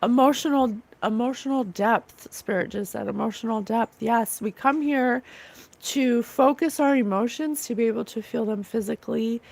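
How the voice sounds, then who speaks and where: A woman hosting a psychic livestream, chatting casually and reading out comments, her voice slightly rough and raw this morning, earnest and gushing about divine love. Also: a little high, normal speed, a little mellow. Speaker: psychic medium livestream host.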